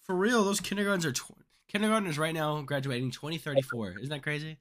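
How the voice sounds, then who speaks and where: A young man, a high school senior, chatting casually with friends, laid-back and laughing often. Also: fast, noisy background. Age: young adult. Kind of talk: casual chat among friends.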